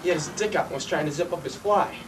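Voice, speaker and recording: A man's voice: on the high side, fast talker, distant mic, noisy background, lightly acted. An actor playing an intense city fireman and former cop, blunt and profane in a dramatic stage monologue. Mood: agitated, intense. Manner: profane, blunt, colloquial storytelling.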